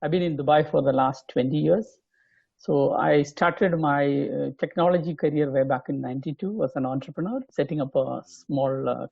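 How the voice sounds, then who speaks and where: Indian guy in his 50s on a video call, gravelly and husky, dull dry sound. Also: on the high side, no echo. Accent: Indian English. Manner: earnest, many "uh" fillers. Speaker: guest, chief information officer. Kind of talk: casual video call chat.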